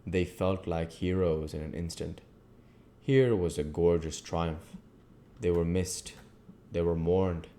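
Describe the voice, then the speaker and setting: A man narrates a novel in a very low-pitched voice. His delivery is notably unhurried and his tone dark and mellow, suited to literary storytelling. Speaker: audiobook reader.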